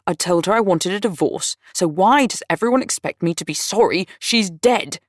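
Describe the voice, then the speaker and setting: A woman voicing a volatile, self-pitying man who rants and complains loudly. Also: lowish voice, expressive, normal speed, dry recording, clean studio sound, lightly acted. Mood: angry ranting. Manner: ranting, raised and strained.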